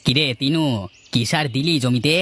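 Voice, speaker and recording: A man's voice: on the high side, fairly even intonation, moderate pace, fairly bright tone, slight background noise, close to an everyday voice. A man voicing a brash, teasing village farmer with an offhand manner in a cartoon folk tale. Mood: brash, scoffing. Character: brash, teasing village banana farmer, older brother type.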